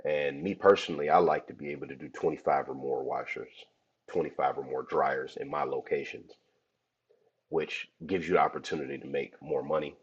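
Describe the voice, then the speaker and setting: Hoarse, very deep-voiced podcast host. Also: male voice, steady tone, fairly quick. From Cleveland, Ohio. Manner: chatty, addresses listeners as y'all. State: hoarse from a cold. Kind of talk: casual podcast talk. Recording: dull lo-fi audio.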